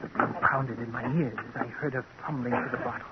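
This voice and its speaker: Man playing tense, high-pitched scheming husband. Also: fairly even intonation, a little fast, lightly acted. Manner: intense, brooding, dramatic. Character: henpecked, scheming middle-aged husband, quietly murderous. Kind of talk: vintage radio drama narration and dialogue. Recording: muffled, hissy vintage radio recording.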